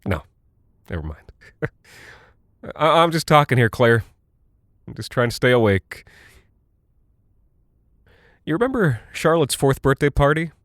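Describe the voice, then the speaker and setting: A man playing an anxious pilot and husband in an audio drama, rambling haltingly and nervously to his wife as if reassuring himself. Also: mid-range voice, sing-song, a bit bright, dry recording, clean studio sound. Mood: uneasy, reassuring himself.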